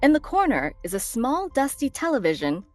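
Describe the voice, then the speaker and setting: A woman's voice: high-pitched, big pitch swings, moderate pace, darkish tone, no room sound. A woman narrator reading screenplay stage directions as dramatic scripted narration. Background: faint background music.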